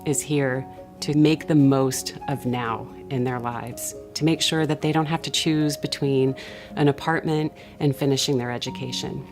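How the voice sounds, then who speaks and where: Very deep-voiced woman, charity spokesperson. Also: steady tone, normal speed, darkish tone. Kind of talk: interview for a charity film. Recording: guitar music playing behind the voice.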